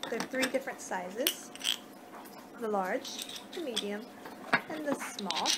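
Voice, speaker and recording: A woman's voice: a little high, lively intonation, slow pace. A young American woman doing a casual ASMR roleplay as a vampire, rambling conversationally on a homemade webcam recording. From United States. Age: young adult. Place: living room indoors at home. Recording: noisy amateur webcam recording.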